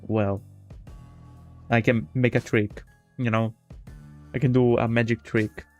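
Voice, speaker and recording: A man's voice: medium pitch, level tone. A man streaming a game, reading a visual novel aloud with casual commentary and often stumbling over words. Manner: halting, stumbles and repeats words while reading. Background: game music.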